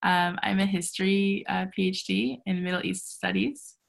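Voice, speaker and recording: A woman's voice: mid-range voice, steady tone, moderate pace, creaky. A woman born in Egypt and raised in San Diego, a doctoral student chatting casually over a video call with many fillers. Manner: chatty, lots of "like" and "um". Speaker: PhD student. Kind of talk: casual group chat.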